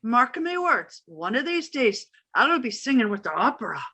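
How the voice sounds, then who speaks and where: A woman's voice: fairly high pitch, big pitch swings, moderate pace, pushed and bright, slightly rough, heavily acted. A woman playing a fussy older Irish landlady with a proud, indignant manner in a 1950s-style radio comedy. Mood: chirpy. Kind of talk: 1950s radio sitcom acting.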